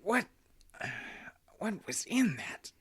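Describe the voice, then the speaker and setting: An actor playing a frightened male victim, pleading in panic with a husky voice in an audio horror drama. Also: sits high, sing-song, very slow, fairly bright tone, heavily acted.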